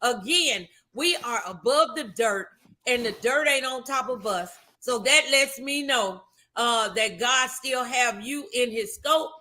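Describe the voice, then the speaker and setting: Woman, very bright forceful voice, high pitch, morning inspiration host, fervent preacher-like exhortation, affectionate. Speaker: host of a motivational morning show. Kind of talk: inspirational talk and prayer, conversational.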